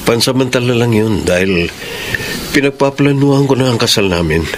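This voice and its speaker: A Filipino actor playing an apologetic, gentle friend and caring partner in a radio drama. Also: male voice, medium pitch, animated, moderate pace, lightly acted. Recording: noisy background ambience.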